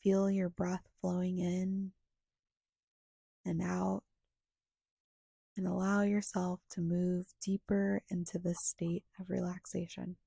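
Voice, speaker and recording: A woman guiding a meditation in a soft, breathy, hushed and soothing voice, leaving long pauses between short phrases. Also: fairly low pitch, little pitch movement, no room sound, studio quality. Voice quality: soft, breathy, often slipping toward a whisper. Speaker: guided meditation guide. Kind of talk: guided meditation narration.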